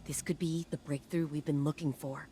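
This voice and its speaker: A woman's voice: deep voice, fairly even intonation, normal speed, mellow, a little hiss or hum, lightly acted. A woman playing an earnest, driven scientist ally in a video game, explaining things in a conversational, urgent way. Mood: hopeful, eager.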